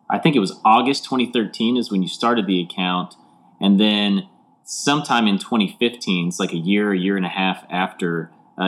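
A man hosting an interview, asking questions in a friendly, loose way with frequent "like". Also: deep voice. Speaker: host.

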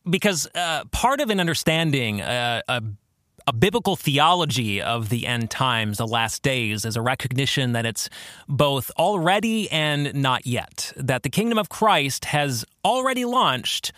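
A man hosting a podcast, explaining theology in a monologue with deliberate, emphatic phrasing. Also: fairly high pitch, fairly bright tone, dry recording, clean studio sound. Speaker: podcast host and author.

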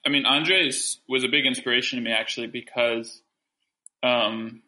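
An American man in his thirties, a translator telling stories in a relaxed, chatty way during an interview. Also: medium pitch, normal speed, poor audio. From United States. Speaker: translator and guest. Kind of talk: casual interview answers.

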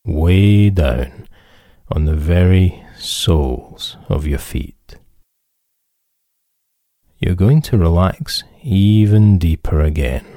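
A man leading a guided relaxation as a therapist, calm and soothing, with measured instructions and pauses. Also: sits low, dry recording, clean studio sound.

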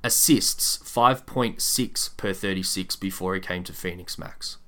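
Low-voiced man hosting a sports podcast. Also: unhurried, bright, forceful tone. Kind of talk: podcast chat with prepared stats quiz.

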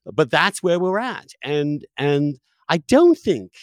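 A man hosting an opinionated conversational discussion, phrasing his points emphatically and deliberately, with pauses for effect. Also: on the high side, very animated, no echo, studio quality.

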